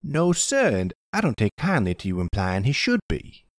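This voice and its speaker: A man's voice: mid-range voice, huge pitch swings, normal speed, no echo, studio quality, voice pushed up. A man voicing a self-righteous older preacher with an American Southern accent and a folksy, pompous, formal manner in an audio roleplay. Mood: indignant.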